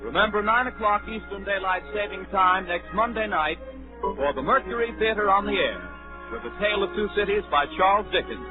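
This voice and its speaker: A man's voice: sits high, animated, fairly quick, music in the background. A man announcing on a 1930s network radio broadcast, reading scripted closing credits. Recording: tinny, band-limited 1930s radio broadcast.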